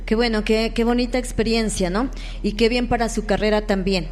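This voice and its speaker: A woman with a Colombian accent hosting a live local radio interview, halting at times as she searches for words. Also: fairly high pitch, steady tone, a little mellow, faint music behind. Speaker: radio host interviewing musicians. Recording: echoey hall-like reverb.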